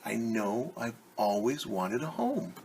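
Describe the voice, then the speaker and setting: A man voicing a gentle, lonely toy teddy bear, speaking slowly and softly from a picture book. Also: mid-range voice, animated, creaky, slight background noise. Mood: wistful.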